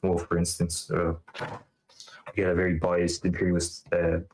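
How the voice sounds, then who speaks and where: An Irish man with a very deep, flat voice talks in a loose, rambling way, leaning on "like" and "uh" as he builds his point. His voice carries a roomy echo, as if he is recorded in a bare space. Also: unhurried. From Ireland. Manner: rambling, heavy filler words. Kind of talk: casual online debate chat. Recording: roomy echoey sound.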